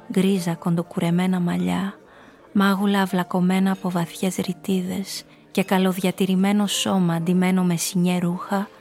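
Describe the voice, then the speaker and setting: An actress playing a calculating seductress who narrates her own story in a radio drama, measured and intimate. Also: lowish voice, a little mellow, natural voice. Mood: watchful, calculating.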